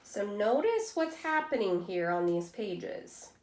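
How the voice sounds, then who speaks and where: A woman reading a picture book aloud like a teacher, deliberately, with asides to young students. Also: medium pitch, very animated, a little mellow.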